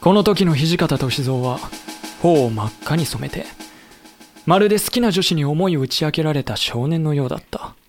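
A man acting as a wry, composed samurai official who narrates a war in a literary, measured way for an audio drama. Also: a little high, animated, darkish tone, faint music behind. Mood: reflective. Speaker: narrator and character.

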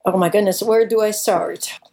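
A woman's voice: mid-range voice, fairly even intonation, normal speed, warm tone. Woman in her forties. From Italy. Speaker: guest, professional shark diver. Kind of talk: conversational interview answers.

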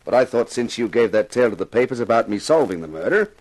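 A man's voice: mid-range voice, lively intonation, quick pace, darkish tone, close to an everyday voice. A man acting a cocky, glib TV detective, the wry lead and narrator of a 1950s radio drama. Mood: feigned innocence. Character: wry, cocky TV actor playing amateur detective.

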